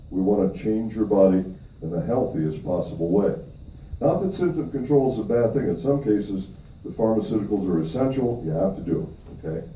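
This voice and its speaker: A middle-aged man from Michigan gives a seminar talk to an audience, emphatic, with upward swings on key points and frequent check-ins of "okay?" with the room. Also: fairly low pitch. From Michigan, USA. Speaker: presenter at a company event. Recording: distant, echoey, dull audio with faint noise.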